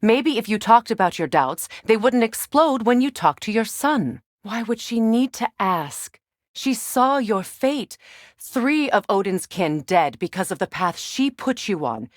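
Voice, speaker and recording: An actress playing a proud, blunt noblewoman in a video game, speaking in a measured, pointed manner. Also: fairly high pitch, very animated, dry recording, clean studio sound. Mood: pointed, grave. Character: blunt, proud noblewoman companion, probing and grave. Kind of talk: video game character dialogue.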